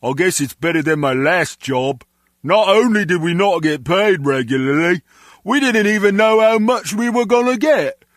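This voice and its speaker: A man's voice: high voice, normal speed, dry recording, clean studio sound, lightly acted. A man playing a world-weary criminal henchman in a spy video game, complaining in a wry way. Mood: disgruntled. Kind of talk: video game dialogue acting.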